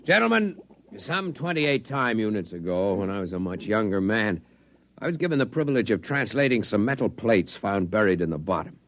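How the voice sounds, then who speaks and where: An actor playing a devoted visionary scientist in 1950s radio drama style, earnest and declamatory. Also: male voice, fairly low pitch, very animated, normal speed, a little hiss or hum. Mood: solemn, determined. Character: devoted visionary male scientist of a tiny underwater race.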